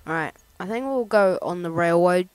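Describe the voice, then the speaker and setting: A man giving casual, rambling let's-play commentary, playful and easily excited. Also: sits high, moderate pace, clean tone. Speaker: gameplay commentator. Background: game music.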